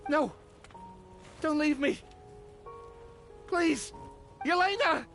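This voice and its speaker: An actor playing a middle-aged, grief-stricken and guilt-ridden man in a video game, halting and anguished. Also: very high-pitched, fairly bright tone, faint music behind, heavily acted. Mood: desperate, pleading. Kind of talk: dramatic video game voice acting.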